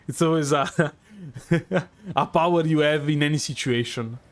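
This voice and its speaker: A man speaks with a strong Italian accent in a fairly high voice. He streams a game live and muses aloud, stopping and restarting, filling gaps with ums. The talk is loose and unhurried, chatting with his viewers as he plays. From Italy. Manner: musing, hesitant, many fillers and restarts. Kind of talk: live gameplay commentary.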